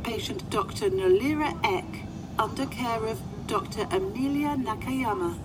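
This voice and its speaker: Polite literal spaceship computer, very high voice, ambient noise behind it. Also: female voice, lively intonation, unhurried. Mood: polite, helpful. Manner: formal, procedural, unflappable. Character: polite, literal-minded female medical ward computer assistant. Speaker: actor in a fiction podcast. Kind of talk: audio drama acting. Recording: clear background ambient noise.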